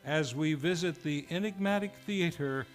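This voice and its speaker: High-voiced theatrical male radio announcer over music. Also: normal speed. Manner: grand, florid showman delivery. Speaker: series host, announcer. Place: radio show performed live in a theater.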